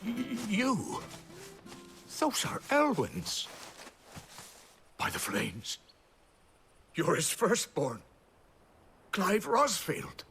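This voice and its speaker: An actor playing a genial, effusive village mayor in a video game, with an animated, theatrical delivery. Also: male voice, sits high, very slow, gravelly, pauses often, faint music behind, exaggerated voice. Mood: astonished. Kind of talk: video game cutscene acting.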